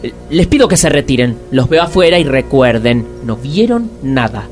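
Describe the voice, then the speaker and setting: An actor playing a self-important, shady nightclub waiter in a comedic radio drama. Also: male voice, medium pitch, animated, normal speed, a little mellow, soft background music, close to an everyday voice. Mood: flustered, shady.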